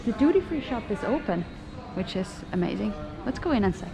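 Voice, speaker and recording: A woman's voice: mid-range voice, sing-song, brisk, gravelly, music in the background, poor audio. A woman talking casually to her camera as she travels through an airport, chatty and sarcastic, swearing freely. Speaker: vlogger. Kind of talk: casual travel vlog monologue. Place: airport terminal.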